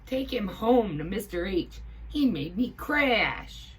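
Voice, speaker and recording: A woman voicing a furious airplane pilot in a children's story, yelling in stretched-out words. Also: mid-range voice, very animated, takes it slowly, slightly rough, voice pushed up, heavily acted.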